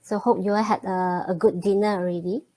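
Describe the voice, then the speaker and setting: Woman, webinar host, relaxed and friendly, filler-heavy delivery. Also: medium pitch. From Malaysia. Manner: friendly, many fillers and pauses. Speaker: webinar host and programming teacher. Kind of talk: live online webinar greeting and intro.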